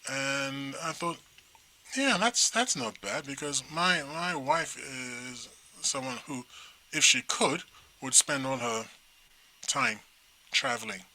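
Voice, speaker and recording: Nigerian-born guy co-hosting a chat show, super bright voice, rambly and lively. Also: mid-range voice, takes it slowly, gravelly, poor audio. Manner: rambling, animated storyteller with restarts. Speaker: talk show co-host. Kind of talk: casual chat.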